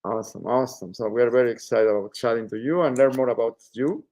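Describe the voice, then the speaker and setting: Gravelly-voiced host, halting. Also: male voice, mid-range voice, darkish tone. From Mexico. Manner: halting, many fillers and restarts. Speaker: podcast host. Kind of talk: casual interview.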